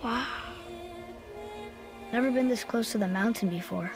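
An actor playing an eager, curious young boy in a video game, earnest and excitable. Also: very high voice, unhurried. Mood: thoughtful, curious. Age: child voice, young boy. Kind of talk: video game character acting. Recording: game music behind voice.

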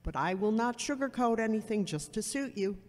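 Actress as sardonic haughty woman, high pitch, audio drama, echoey hall. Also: expressive, brisk, darkish tone, natural voice. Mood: scornful. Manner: brusque, sardonic, superior. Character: blunt, haughty woman giving harsh advice on a bench. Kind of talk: amateur audio drama acting. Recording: echoey hall with long reverb.

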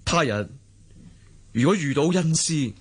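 Deferential young martial-arts hero for radio drama; lively intonation, slightly rough voice, Hong Kong Cantonese accent. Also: male voice, on the high side, a little slow. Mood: earnest pleading. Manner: deferential, earnest. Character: loyal, humble, earnest young swordsman hero.

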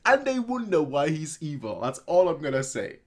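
A man's voice: a little high, normal speed, slight background noise. Rambling young man, casual reaction host. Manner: rambling, repeats phrases, animated when reacting. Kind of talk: casual unscripted reaction commentary. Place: small home room indoors.

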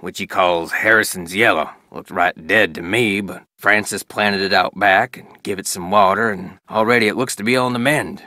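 A man's voice: low voice, bright, forceful tone, no room sound, clean studio sound. An actor playing a middle-aged, plainspoken Old West sheriff and father in a video game, reading his diary with a rural Western American accent. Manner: folksy, plainspoken. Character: weathered Old West sheriff, grieving, stern, loving father.

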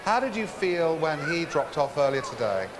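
A man's voice: on the high side, very animated, moderate pace. A British man hosting a game show, chatting with contestants in a teasing, upbeat way. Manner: teasing, chirpy, laughs often. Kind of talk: upbeat presenter chat with contestants. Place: large indoor shopping centre studio set. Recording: noisy crowded venue sound.

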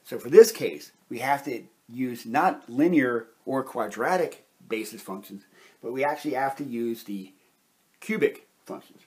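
Husky-voiced man teaching step by step. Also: mid-range voice, lively intonation, unhurried. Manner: methodical, explanatory. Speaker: chemistry instructor. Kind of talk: step-by-step whiteboard explanation.

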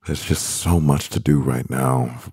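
A man playing a stressed, devoted CEO boyfriend in an intimate ASMR roleplay, murmuring with a husky, gravelly voice and pauses. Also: very deep voice, darkish tone, no room sound, studio quality, heavily acted. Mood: weary, tender.

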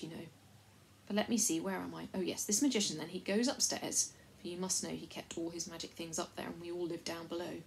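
A woman's voice: lowish voice, vocal fry, darkish tone, slight background noise, rough recording. A woman voicing a self-important invisible male chief of servants in a storybook, folksy, repetitive and rambling. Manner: folksy, repetitive, long-winded.

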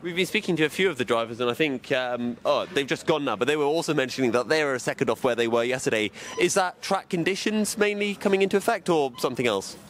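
A man's voice: medium pitch, animated, fast, hardly any pauses, a bit bright, soft background music. A young man presenting and interviewing on a handheld mic, outdoors in a racing paddock. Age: young adult. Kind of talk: roving paddock commentary and interviews. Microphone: handheld microphone.

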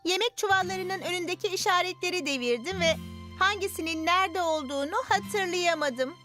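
A woman's voice: very high voice, brisk, sharp tone. A woman voicing a sweet, earnest little girl in a preschool cartoon, with simple, sincere phrasing. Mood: apologetic. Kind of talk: animated preschool cartoon dubbing. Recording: music behind the voice.

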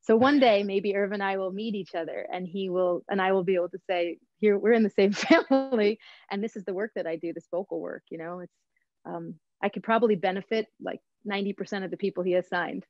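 A middle-aged woman tells her story with lively, expressive energy, often checking in with a quick "right?". Her voice comes through a video call with a dull, slightly lo-fi tone and a dry, close sound. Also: mid-range voice, dry recording. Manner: expressive, gestures while talking, frequent "right?". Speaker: guest, voice teacher and singer. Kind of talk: animated interview storytelling. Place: home room with bookshelves.

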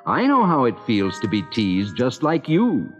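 A man voicing a kind, cheerful young male reindeer in a storybook, speaking in gentle rhymes. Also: mid-range voice, wildly swooping pitch, unhurried, in a large hall. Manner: gentle rhyming storybook delivery. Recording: dull lo-fi record with music.

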